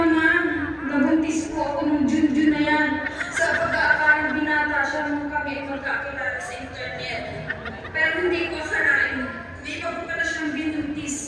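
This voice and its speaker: Woman as a rueful worker, high echoing voice. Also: steady tone, normal speed, lightly acted. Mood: rueful confession. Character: rueful pregnant female migrant worker, deceived by lover. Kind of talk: amateur stage play acting. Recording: echoey hall from far off, noisy.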